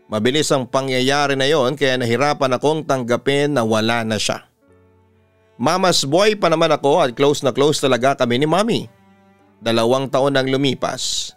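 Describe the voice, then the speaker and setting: A man with a Filipino accent narrating a letter as a radio-style story host, measured and sentimental. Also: mid-range voice, fairly bright tone, smooth, dry recording. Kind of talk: dramatic narration of a listener's letter. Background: instrumental music.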